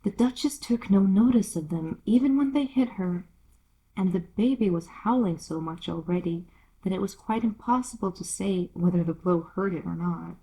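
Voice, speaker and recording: A woman's voice: on the low side, moderate pace, mellow, breathy edge, low-quality recording. A woman reading a classic children's story aloud as a scripted narration. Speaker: storyteller, audiobook reader.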